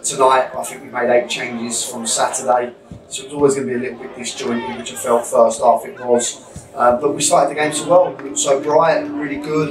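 A football manager in his thirties with a London accent, talking through a defeat in a measured, reflective way with many fillers and restarts. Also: medium pitch, noisy background. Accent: London English. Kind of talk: post-match interview. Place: small changing room. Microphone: camera mic at a few metres. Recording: echoey small room, distant mic.

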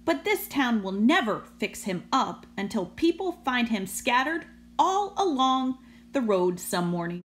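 Woman reading as a plain bus driver guy, matter of fact, slow. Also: on the high side, sing-song. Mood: resigned.

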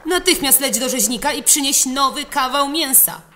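A woman voicing an angry, frantic male innkeeper who shouts and scolds in a children's storybook. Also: sits high, moderate pace, a little hiss or hum, pitched above the performer's own voice. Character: angry, frantic male innkeeper bossing his kitchen boy.

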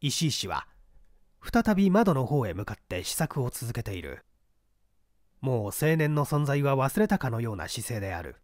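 A man narrating a mystery story in a deliberate, dramatic reading style. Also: medium pitch, very animated, no echo, studio quality.

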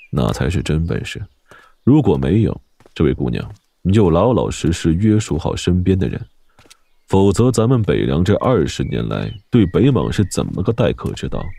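A man playing a middle-aged steward of a lord's house in an audio drama, calm and courteous with quiet menace, his voice husky and gravelly. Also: extremely low pitch, heavily acted. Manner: calm, courteous, understated warning.

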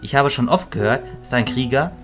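A man playing a calm, reasoning mentor in a fantasy audio drama, measured, philosophical and reassuring. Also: mid-range voice, natural voice. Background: music.

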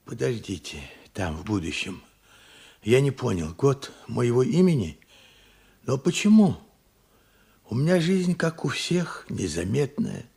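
An elderly, wistful grandfather in a Soviet radio drama. He speaks haltingly and slowly, with a gravelly voice that swings widely in pitch as he wonders. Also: big pitch swings, darkish tone, heavily acted. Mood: bewildered. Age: older. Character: bewildered, wistful old grandfather.

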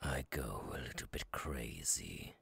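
A male voice actor playing a menacing, giggling, unhinged killer clown monster in a horror ASMR roleplay, raspy and gravelly, sliding between breathy whispers and cackles. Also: extremely low pitch, animated, a little slow, a bit bright, heavily acted. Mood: unhinged, simmering.

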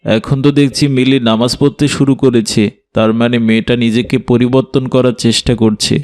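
Casting: male actor as stern, fair-minded grown-up for kids' cartoon, medium pitch, measured moralizing delivery. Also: level tone, a little mellow, lightly acted. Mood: approving. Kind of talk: children's cartoon voice acting.

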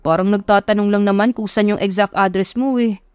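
A woman's voice: medium pitch, fairly even intonation, fairly quick, a little mellow, clean tone, dry recording, soft background music, close to an everyday voice. A woman voicing a cocky, possessive rich young man, boastful and bossy, in an audio love story. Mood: indignant, cocky.

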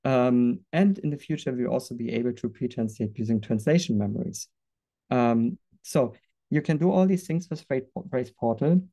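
A man presenting a software product in a webinar, earnest and a little halting, with fillers and restarts. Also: mid-range voice.

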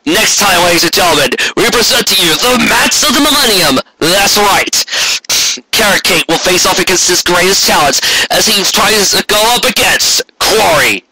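A storyteller playing an excited, theatrical announcer hyping up a big showdown. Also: medium pitch, normal speed, voice pushed up, poor audio. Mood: excited hype.

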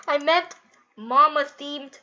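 Casting: female gaming host, high-pitched, casual and giggly, slightly muffled lo-fi recording. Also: pushed and bright. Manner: giggly, rambling, easily amazed. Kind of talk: casual gameplay commentary.

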